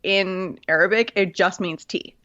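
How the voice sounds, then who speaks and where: A woman's voice: mid-range voice, measured pace, fairly bright tone, no room sound. A woman with a Canadian accent chatting casually as an interview guest, giggly and self-deprecating, on a slightly lo-fi recording. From Canadian prairies, Manitoba. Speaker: podcast guest, clarity coach.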